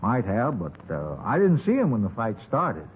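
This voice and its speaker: A man's voice: fairly low pitch, huge pitch swings, normal speed, narrow, tinny audio. A man playing a folksy, talkative eyewitness in a 1950s radio drama, rambling along in colloquial phrases. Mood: vague, unhurried. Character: folksy, talkative male eyewitness to a street shooting. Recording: scratchy, thin 1950s radio recording.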